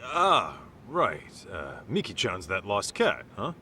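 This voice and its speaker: Low-voiced, easygoing detective. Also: male voice, wildly swooping pitch, slow, pushed and bright, slight background noise, heavily acted. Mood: polite, resigned. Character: gruff, easygoing middle-aged male private detective. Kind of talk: video game cutscene acting.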